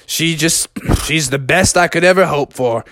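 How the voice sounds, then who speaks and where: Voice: mid-range voice, moderate pace, a bit bright, no echo, lightly acted. A storyteller voicing a proud, friendly papa bear in a raised, chummy voice.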